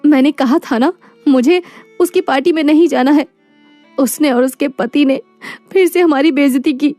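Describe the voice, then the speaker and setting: A woman's voice: sits high, moderate pace, dark tone, no echo, soft background music, natural voice. A woman voicing a poor, proud and devoted wife in a moral story, earnest and emotional. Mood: hurt. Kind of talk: animated moral story dialogue.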